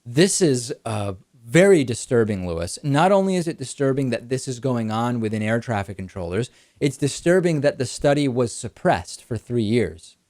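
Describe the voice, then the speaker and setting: Male talk show host, mid-pitched, brisk and explanatory, dry studio mic. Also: animated, a little mellow, dry recording. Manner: brisk explanatory, rhetorical questions. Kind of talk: political talk show commentary.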